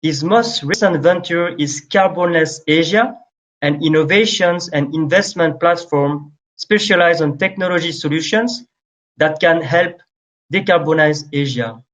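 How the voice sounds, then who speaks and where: A man's voice: a little high, measured pace, a bit bright. A man moderating a webinar over a video call, reading a formal introduction of the speakers. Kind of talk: scripted introduction. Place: indoor room, video call. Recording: dull lo-fi call audio.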